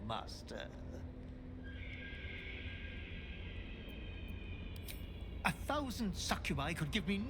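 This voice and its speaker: An actor playing a fawning, ghoulish butler in a video game, obsequious, theatrical and gleefully macabre. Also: male voice, sits high, background noise. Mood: doting, devoted. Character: fawning, ghoulish goblin-like butler to a murder cult. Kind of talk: video game character acting.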